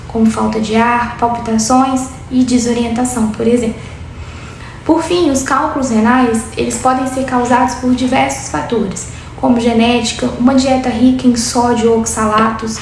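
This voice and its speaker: A woman in her twenties with a Brazilian Portuguese accent, a doctor calmly explaining medical topics in an interview. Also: a little high. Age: 20s. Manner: steady, clinical, explanatory. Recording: very echoey room with faint noise.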